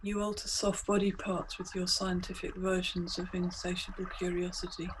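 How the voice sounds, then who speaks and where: A woman's voice: on the low side, flat delivery. Woman guiding a meditation, noisy ambient recording. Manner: deliberate, immersive second-person storytelling. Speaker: meditation guide, multimedia artist. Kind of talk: guided meditation, scripted poetic narration.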